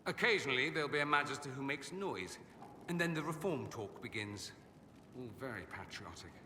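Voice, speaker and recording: A suave, self-flattering noble mage for a fantasy game. His voice is very bright and crisp-edged, swooping theatrically with dry wit. It rings in a reverberant hall. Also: mid-range voice, a little fast, slight background noise. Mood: wry, explaining. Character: witty, vain aristocratic male mage, sardonic rebel exile. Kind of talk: video game dialogue acting.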